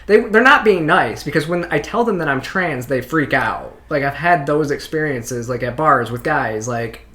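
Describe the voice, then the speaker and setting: A chatty woman with a fairly deep voice hosts a casual reaction chat. She tells stories from her own life in a loose, conversational way and laughs often. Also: animated, moderate pace, a bit bright. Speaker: host.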